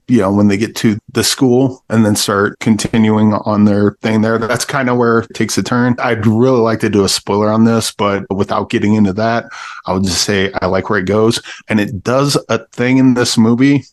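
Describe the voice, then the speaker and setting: A man's voice: on the low side, no room sound. A man speaking as a panelist in a casual, conversational movie review.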